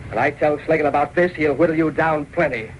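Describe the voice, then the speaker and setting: Man acting a higher-pitched, sneering gangster henchman. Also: quick pace, over music, natural voice, rough recording. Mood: bullying, sneering. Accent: American. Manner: blustering, slangy gangster talk. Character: tough sneering male racketeer's collection henchman. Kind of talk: 1930s radio crime drama acting. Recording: old radio recording with steady hiss.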